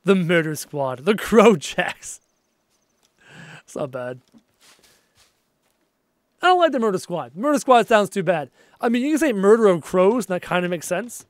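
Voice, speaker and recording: A man's voice: sits high, unhurried, long pauses, dry recording. A man streaming a game live, chatting casually with viewers and reading out their messages, his voice worn and tired. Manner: chatty, reads out viewer messages, easily excited. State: losing his voice, low on energy. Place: at his desk at home.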